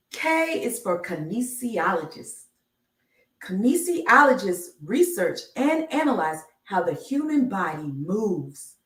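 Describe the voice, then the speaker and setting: A woman reading a children's picture book aloud on a live stream, enunciating deliberately like a teacher. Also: fairly high pitch. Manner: slow, deliberate enunciation with teacherly announcements of each letter. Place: indoor room, live stream. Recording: roomy, echoey, distant microphone.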